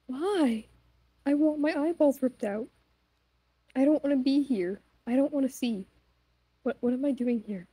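A woman's voice: high-pitched, measured pace, long pauses, mellow, breathy edge, dry recording, rough recording. A woman voicing a panicked, bewildered little female jester in an amateur cartoon fan dub, stammering and repeating herself, foul-mouthed. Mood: distressed confusion. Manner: stammering, repetitive, incredulous.

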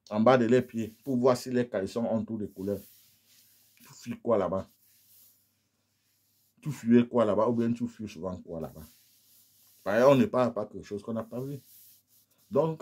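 A man in his thirties from Cameroon, a native English speaker with a Cameroonian Anglophone accent, giving animated commentary to a phone camera in a small room, repeating rhetorical questions in a scolding tone. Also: mid-range voice, husky. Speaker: political vlogger. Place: small room indoors with colored lights.

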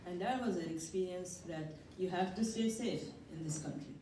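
A woman's voice: lowish voice, moderate pace, warm tone. An older woman at a workshop telling a personal story in a conversational, hesitant way with fillers, speaking into a handheld mic in a community room. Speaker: workshop participant. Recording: echoey room, mic at a distance, noisy.

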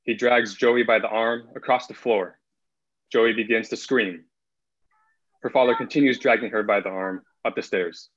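A man's voice: on the low side, fairly even intonation, moderate pace, fairly bright tone. A young man speaking as the narrator of a table read over a video call. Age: young adult. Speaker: narrator and actor. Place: plain room at home. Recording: dull video-call audio.

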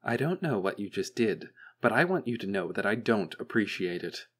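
Audiobook machine villain, male reader: female overseer, paranoid, sharply condescending, low-pitched menace. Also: very animated, normal speed, breathy edge. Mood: cold menace.

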